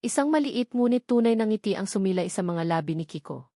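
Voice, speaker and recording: A woman reading a scripted dramatic story in a measured, earnest way. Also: mid-range voice, expressive, warm tone, dry recording, studio quality. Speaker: story narrator.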